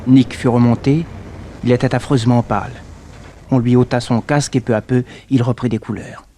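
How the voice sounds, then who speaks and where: An earnest detective's assistant narrating a sixties French radio adventure, played by a man. The voice is middle-pitched and theatrical, over faint recording noise. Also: fairly even intonation, fairly quick, warm tone, natural voice. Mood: composed storytelling. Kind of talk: dramatic first-person radio narration, 1960s. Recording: slightly noisy old radio recording.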